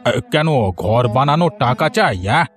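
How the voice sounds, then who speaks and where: A scheming older moneylender from a village cartoon , acted by a man. The voice sits low and drawls with impatience and menace under a show of generosity. Music plays behind it. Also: lively intonation, unhurried, fairly bright tone. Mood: suspicious. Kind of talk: cartoon story voice acting.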